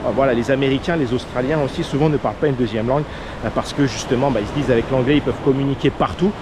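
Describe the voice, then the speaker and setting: A man's voice: mid-range voice, racing. Earnest French man, outdoor surf noise. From France. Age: under 40. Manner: earnest, many fillers and repeated words. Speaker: vlogger, expat coach. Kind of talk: casual advice monologue. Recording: outdoor noise of waves and traffic.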